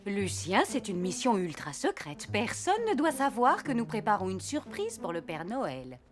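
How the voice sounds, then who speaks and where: A woman voicing Santa's kind, conspiratorial female helper in a French cartoon, with an expressive, coaxing delivery. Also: medium pitch, moderate pace. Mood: secretive. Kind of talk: French animated series dubbing. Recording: music behind the voice.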